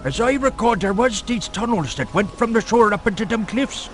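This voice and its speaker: A man's voice: high-pitched, expressive, moderate pace, a bit bright. An actor playing a bereaved, middle-aged working-class coastal man with a folksy, rambling way of talking in a video game. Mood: wary, helpful. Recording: game audio under clear music.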